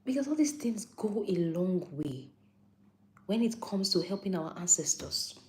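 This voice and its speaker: A woman's voice: medium pitch, lively intonation, unhurried, mellow. A young Nigerian woman with a Nigerian accent giving a casual live-stream health talk, repeating points for emphasis and answering viewer comments.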